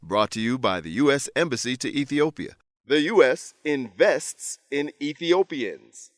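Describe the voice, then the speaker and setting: A man narrating a scripted introduction to a radio drama in a clear, presentational way. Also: medium pitch, expressive, unhurried, no echo, studio quality.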